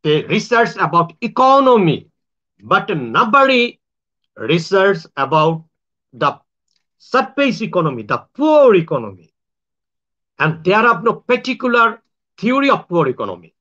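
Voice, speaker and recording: High, bright-voiced Bangladeshi man rambling. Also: very animated, a little slow, long pauses. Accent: Bangladeshi English. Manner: rambling, with many restarts and self-corrections; grand, boastful claims. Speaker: online show host. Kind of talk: casual monologue to viewers. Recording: dull lo-fi sound.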